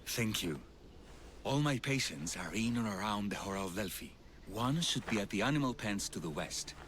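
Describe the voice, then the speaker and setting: An earnest village healer for a game cutscene. His voice sits fairly low and moves with feeling, carrying kindness and private worry. There is faint ambient noise behind him. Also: lively intonation, normal speed, a bit bright. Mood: calm, friendly. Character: kind, earnest male village physician burdened by family worry. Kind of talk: video game cutscene acting.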